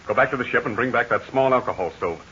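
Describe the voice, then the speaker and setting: A man's voice: mid-range voice, pushed and bright, lightly acted. A man in 1940s radio acting, playing a commanding heroic aviator captain. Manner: commanding, brisk, take-charge. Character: confident heroic adult male aviator captain. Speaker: Captain Midnight. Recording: dull hissy 1940 radio transcription.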